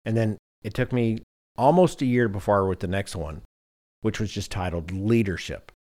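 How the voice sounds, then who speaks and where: A man's voice: fairly low pitch, normal speed, a little mellow. A man hosting a podcast in casual conversation, telling anecdotes in a self-deprecating way. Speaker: podcast host.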